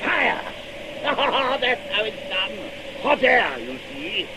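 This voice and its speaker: An actor playing a weary yet gleeful warlord piloting a balloon in a radio drama, theatrical and relishing. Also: male voice, high-pitched, very animated, very slow, creaky, background noise, full character voice. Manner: theatrical, relishing, broken by chuckles. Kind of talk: 1980s radio drama acting.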